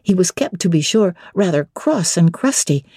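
Female audiobook narrator: formal, expressive, measured delivery with a dark, soft tone and very dry studio recording. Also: fairly low pitch, husky, dry recording. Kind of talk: dramatic literary audiobook narration.

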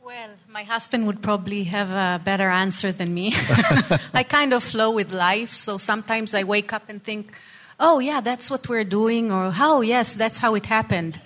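A woman's voice: medium pitch, moderate pace, fairly bright tone. A woman in her fifties from Israel, speaking reflectively and conversationally as a guest on a panel, sharing personal testimony. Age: 50s. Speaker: ministry program worker, panel guest.

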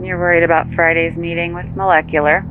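A woman playing a brisk, dry, efficient assistant in an audio drama, heard through a phone filter. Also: on the low side, level tone, over music. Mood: matter-of-fact. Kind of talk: naturalistic audio drama acting. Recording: tinny phone line, loud car hum.

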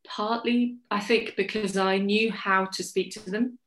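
A woman from England, a journalist telling her story in a forthright, lively interview. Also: medium pitch, a little slow, slight background noise. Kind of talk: candid conversational storytelling. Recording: echoey room.

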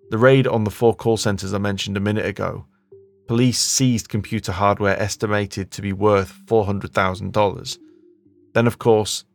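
Male documentary narrator, gravelly and lowish, measured delivery.

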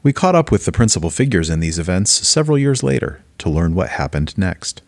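Man playing wry documentary narrator guy, lowish voice, scripted, dry studio-like sound. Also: very animated, brisk, dry recording, full character voice.

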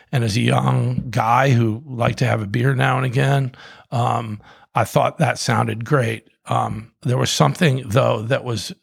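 Gravelly husky guy, middle-aged, rambling on, lots of um and uh, dry close sound. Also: mid-range voice, no room sound. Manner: rambling, many restarts and fillers. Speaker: guest, coffee company co-founder. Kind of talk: casual storytelling.

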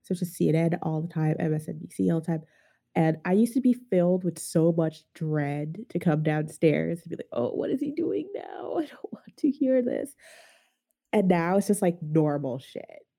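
Woman podcast host, stuffed-up nasal voice, gravelly and dark, chatty and self-mocking. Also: low-pitched, animated, normal speed. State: congested from a sinus infection. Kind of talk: casual podcast chat.

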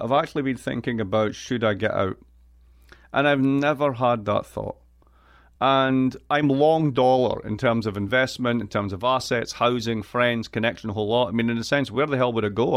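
A man with an east coast Scottish accent, a guest on an interview show, talking in a wry, joking way with quick asides. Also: medium pitch, gravelly. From Scotland. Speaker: guest, economist. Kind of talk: lively podcast interview.

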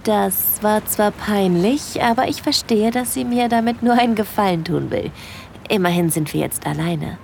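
A woman playing a shy, smitten young holidaymaker in an intimate first-person audio drama, breathy, confiding and easily flustered. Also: medium pitch, moderate pace, darkish tone, slight background noise, lightly acted. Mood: dreamy, coy.

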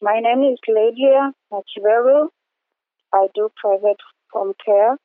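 A woman's voice: a little high, unhurried, no room sound. A woman in her forties from Uganda speaks plainly and earnestly about her caregiving job. The sound is thin and narrow like a phone call, with faint music underneath. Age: 40s. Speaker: home care worker. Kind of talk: interview clip.

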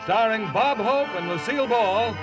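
A man's voice: very high voice, expressive, moderate pace, over loud music, poor audio. A man making grand announcements in 1950s radio style. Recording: vintage 1950s radio broadcast.

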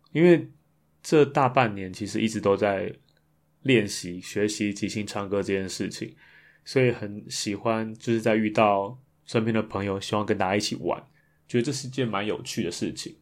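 Low-voiced Taiwanese man hosting a podcast. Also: moderate pace. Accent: Taiwanese Mandarin. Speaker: podcast host. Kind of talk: casual reflective solo podcast talk.

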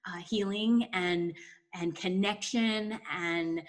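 A woman's voice: lowish voice, fairly even intonation, slow. A woman podcast host speaking in an informal, chatty way as she introduces and interviews guests.

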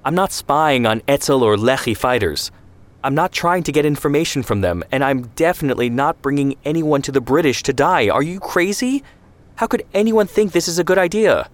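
A man's voice: medium pitch, moderate pace, hardly any pauses, pitched above the performer's own voice, lightly acted. A man voicing an idealistic young man who argues with rising outrage. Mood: outraged. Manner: outraged, pleading.

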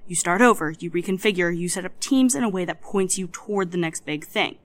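An actress playing a wary, frustrated hacker collective coordinator in an audio drama, plain-spoken, hesitant and exasperated. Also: female voice, on the low side, a little fast, lightly acted.

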